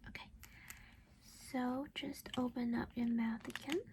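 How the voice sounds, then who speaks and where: A woman whispers softly in a breathy, crackly voice with very little pitch movement. She plays a caring vampire mother in an ASMR roleplay, reassuring and halting as she goes. The recording has clear background noise and small clicks. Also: little pitch movement. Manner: gentle, reassuring, slow and halting. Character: caring vampire mother doing a dental checkup.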